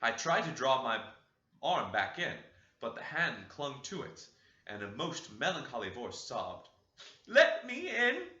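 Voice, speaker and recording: A man reading a classic novel aloud with dramatic expression and a lively, swinging pitch. His tone is bright and sharp. The room is echoey and the sound a bit muffled. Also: measured pace. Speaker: audiobook narrator. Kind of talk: scripted narration of a classic novel.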